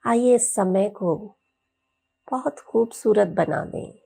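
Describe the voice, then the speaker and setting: A woman leading a guided meditation as a yoga teacher, speaking in a soothing, gentle, unhurried way with long pauses. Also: mid-range voice, gravelly, breathy edge.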